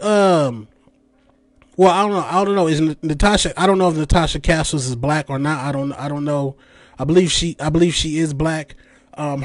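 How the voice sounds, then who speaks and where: A man's voice: high-pitched, no room sound. A man in his late thirties hosting a podcast, delivering a heated, profane, mocking rant. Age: 30s. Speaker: podcast host. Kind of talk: ranting commentary.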